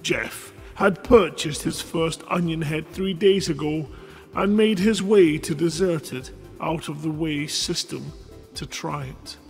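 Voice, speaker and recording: Casting: male stream host, high voice, slow delivery, jokey story reader over light music. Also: gravelly. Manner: playful, jokey. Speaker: host of a live radio stream reading listeners' short stories. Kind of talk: live reading of short fiction with casual banter. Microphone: headset microphone.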